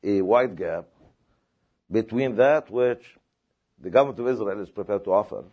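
A man from Palestine answering questions at a panel discussion, speaking in a measured, halting way with many fillers and repeated words. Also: fairly low pitch, highs cut off.

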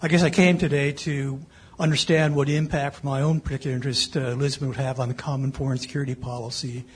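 Audience man, rapid, raspy delivery, matter-of-fact. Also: medium pitch, flat delivery. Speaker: audience member asking a question. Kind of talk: question at a panel discussion.

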